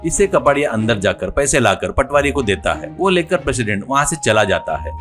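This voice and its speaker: A man's voice: medium pitch, sing-song, a little fast, fairly bright tone, soft background music. A man telling a scripted folk-style moral story as narrator.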